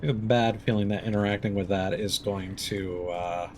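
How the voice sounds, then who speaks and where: A man's voice: fairly low pitch, fairly even intonation, normal speed, a little mellow, a little hiss or hum. A man giving casual live commentary as he plays a game, with faint game sound behind him. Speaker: game streamer.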